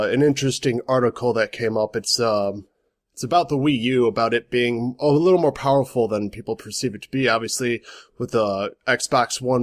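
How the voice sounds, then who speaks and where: Male vlog host, stuffy nasal cold voice, mid pitch, dry close sound, rambling delivery. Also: no echo. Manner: rambling, self-correcting. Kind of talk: casual gaming news vlog.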